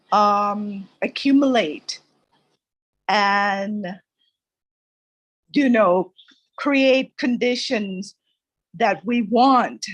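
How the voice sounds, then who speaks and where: This woman in her sixties speaks slowly, with a voice pitched slightly high. She halts and fills pauses as she shares her thoughts, as a new participant in a casual discussion. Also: low-quality recording. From Thailand. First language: Thai. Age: 60s. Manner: halting, with many fillers.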